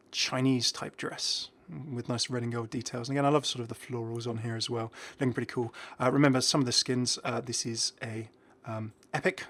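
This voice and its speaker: A man's voice: medium pitch, fairly bright tone. A man hosting a gaming video, giving casual commentary and musing aloud in a chatty way. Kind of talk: casual game update commentary.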